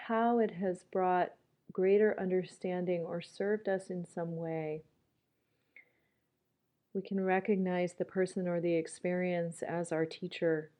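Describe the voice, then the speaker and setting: A woman leading a guided meditation in a calm, gentle manner, leaving long pauses as she goes. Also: on the low side.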